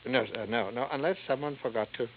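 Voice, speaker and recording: A man's voice: mid-range voice, quick pace, natural voice. Man playing fussy, fluttery art dealer, hissy old radio. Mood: hesitant, prim. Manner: fluttery, hesitant, nervous little chuckles. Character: fussy, timid, prim male antique art dealer. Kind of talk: 1940s-50s radio acting. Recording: hissy, narrow vintage radio recording.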